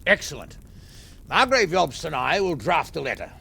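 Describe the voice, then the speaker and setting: An actor playing a cautious, practical medieval lord who speaks formally and decisively in a game cutscene. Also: male voice, on the high side, very animated, normal speed, vocal fry, pushed and bright, breathy edge, heavily acted. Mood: businesslike. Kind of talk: dramatic game cutscene acting.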